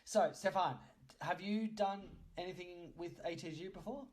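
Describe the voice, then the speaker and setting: A man's voice: high voice, moderate pace. A man hosting a podcast in a casual, chatty interview style, often interjecting and teasing his guests. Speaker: podcast host.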